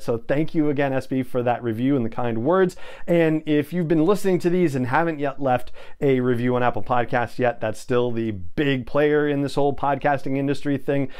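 A man's voice: medium pitch, a little mellow, clear, resonant tone. A middle-aged man hosting a podcast, talking to his listeners in an upbeat, effusive way. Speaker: podcast host. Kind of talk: enthusiastic podcast monologue to camera. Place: small home studio room. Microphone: lapel mic.

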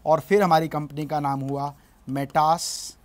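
A man's voice: fairly high pitch, steady tone, unhurried. Emphatic man coaching on business. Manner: emphatic, gestures at a screen, asks "है ना?". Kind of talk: motivational business lesson. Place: studio in front of a large screen.

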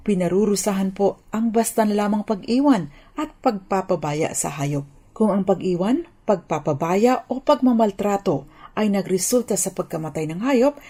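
A woman's voice: fairly low pitch, dark tone, husky. A Filipino woman speaking as a lawyer on radio, explaining the law in a formal, polite, instructive manner. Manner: formal, polite, instructive, mixes in English legal terms. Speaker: lawyer, radio legal commentator.

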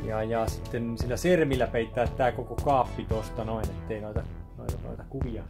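A man's voice: medium pitch, fairly even intonation, creaky. Chatty man over background music. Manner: chatty, rambling, with many restarts. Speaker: video maker showing a set build. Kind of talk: casual DIY vlog talk. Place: small indoor office studio. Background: music playing under the talk.

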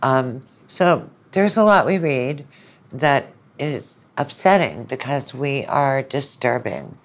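A woman's voice: very deep voice, animated, takes it slowly. A middle-aged woman guest, a lecturer, explaining her opinions conversationally with anecdotes and quoted asides. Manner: opinionated, analytical, quotes imagined speakers.

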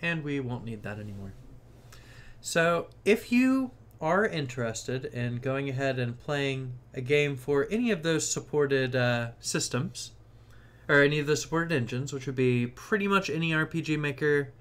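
A man's voice: mid-range voice, expressive, normal speed, a bit bright. Casual man explaining tech step by step. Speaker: tech tutorial host. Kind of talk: casual screen-share tutorial narration.

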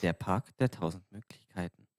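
A man's voice: sits low, moderate pace, over loud music. A man co-hosting a livestream in casual, teasing chat. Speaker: livestream co-host and sound operator.

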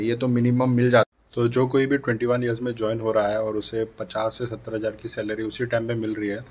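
A man in his thirties talking casually to a phone camera indoors, gesturing a lot as he gives advice to his viewers. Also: mid-range voice. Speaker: vlogger. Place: dim room indoors by a dark door. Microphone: phone camera at arm's length.

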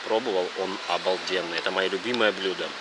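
A man in his thirties vlogging casually while he eats, talking in an informal, halting way with plenty of fillers. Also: low voice, fairly even intonation. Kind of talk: casual chat while eating. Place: large open restaurant, indoors. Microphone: action camera on the table at close range. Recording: loud restaurant ambience noise.

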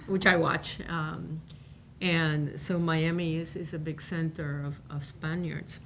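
A woman's voice: deep voice, level tone, slow pace, a bit bright, slight background noise. A woman in her sixties, born in Cuba, giving a conversational university talk at a table microphone, with many fillers and restarts. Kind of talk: informal lecture on a panel. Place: university seminar room.

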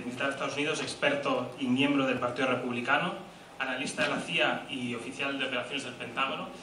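A man giving a formal, earnest introduction of a guest speaker at a rally, with a few fillers. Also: medium pitch. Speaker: party official introducing a guest. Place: large indoor event hall. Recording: echoey hall, far from mic, noisy.

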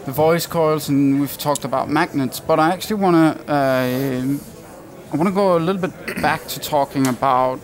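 A man's voice: fairly high pitch, normal speed. A man in his thirties hosting a conversational interview, asking curious questions and thinking aloud as he goes. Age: 30s. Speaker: interview host. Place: glass-walled booth at a trade show hall. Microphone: headset.

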